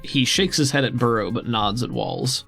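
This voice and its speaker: A man's voice: mid-range voice, wildly swooping pitch, normal speed, gravelly, a bit bright, husky, faint music behind. A man improvising narration as the game master of a tabletop role-playing game.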